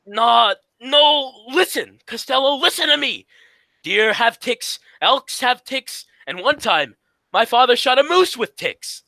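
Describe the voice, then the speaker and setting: A man's voice: very high-pitched, expressive, slow, creaky, bright, forceful tone, no room sound, exaggerated voice. A man playing the exasperated, scolding straight man of an old radio comedy duo, in a 1940s radio acting style. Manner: scolding, insistent.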